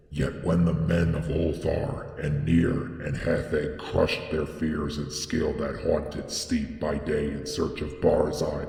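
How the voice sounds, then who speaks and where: A man reads gothic horror with solemn drama. His voice is low and heavily gravelled, his delivery slow, all wrapped in roomy, lingering reverb. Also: a little mellow. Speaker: audiobook narrator. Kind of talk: scripted gothic horror narration. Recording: echoey hall with long reverb.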